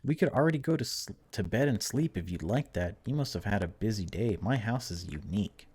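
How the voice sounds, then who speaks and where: A man's voice: lowish voice, lively intonation, fairly quick, a little mellow, slightly rough. Man putting on eerily polite, odd sleepover friend. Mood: softly welcoming. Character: odd soft-spoken male friend hosting a sleepover.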